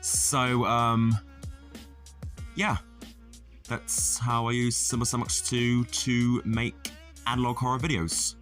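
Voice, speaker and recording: A man giving a casual tutorial voice-over as a video creator, with deadpan, self-deprecating, sweary humour. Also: medium pitch, takes it slowly, long pauses. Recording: music playing under the voice. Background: background music.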